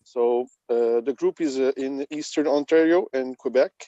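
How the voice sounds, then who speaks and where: Man, careful halting English. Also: medium pitch, warm tone, dry recording, muffled recording. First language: French. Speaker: sergeant.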